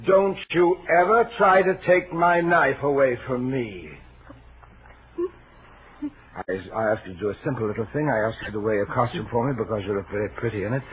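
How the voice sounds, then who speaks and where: An actor playing an obsessive, lonely, menacing admirer in a 1940s radio thriller, speaking in a halting, repetitive, intense way. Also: male voice, medium pitch, close to an everyday voice. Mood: agitated, threatening. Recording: scratchy, narrow-band 1940s radio recording.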